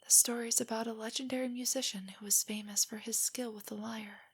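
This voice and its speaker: A woman playing a nervous, nerdy, affectionate young girlfriend in an intimate audio roleplay, speaking softly and breathily in a hesitant, earnest way. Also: fairly high pitch, steady tone, brisk. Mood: storytelling. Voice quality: soft, breathy, whispery. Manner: hesitant, rambling, earnestly explanatory.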